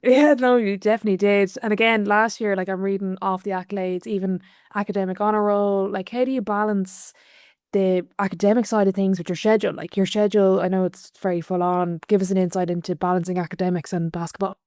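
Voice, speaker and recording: An Irish woman interviews her guest in an eager, chatty way, sometimes tripping over the start of a question. Her voice sits at a middle pitch, and the recording is dry with little room sound. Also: fairly even intonation, fast, darkish tone, smooth, no room sound. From Ireland. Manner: eager, stumbling starts, chatty follow-ups. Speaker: podcast host.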